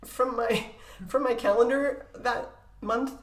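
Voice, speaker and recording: A middle-aged woman with a loud, projecting voice telling personal stories casually as a podcast guest, in an animated way, acting out conversations. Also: a little high, takes it slowly, reverberant.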